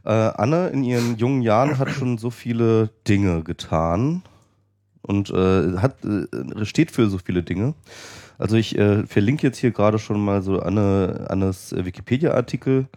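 A man's voice: on the low side, measured pace, dark tone, no room sound, clean studio sound. A man hosting a podcast with a cold, chatting casually and rambling with many fillers. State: has a cold. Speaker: podcast host. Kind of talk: casual chat.

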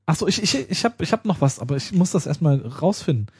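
A man's voice: on the high side, very animated, fast, darkish tone, slightly rough, dry recording, clean studio sound. A man co-hosting a casual tech podcast, joking and rambling with lots of fillers and restarts. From Berlin. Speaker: podcast co-host.

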